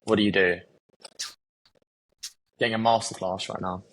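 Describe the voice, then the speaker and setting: A man's voice: on the low side, steady tone, moderate pace, fairly bright tone, a little hiss or hum, poor audio. A man hosting a podcast, asking eager questions with a light, jokey touch. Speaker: podcast host. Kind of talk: casual podcast conversation.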